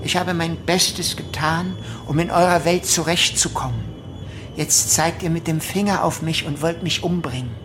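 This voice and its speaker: Actress as a bitter outcast prisoner, lowish female voice, solemn accusing monologue, radio drama, music underneath. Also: fairly even intonation, normal speed, close to an everyday voice.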